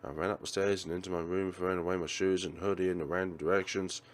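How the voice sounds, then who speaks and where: A man hosting a let's play, reading visual novel narration aloud in an expressive way. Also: low-pitched, quick pace.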